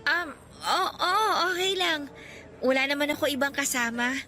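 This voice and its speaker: A woman acts out an insecure, soft-hearted young workmate in a radio drama. Her voice is fairly high and bright, hesitant yet lightly teasing, over quiet background music. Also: moderate pace. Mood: shy. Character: shy, insecure young female co-worker, easily amused. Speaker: drama voice actor.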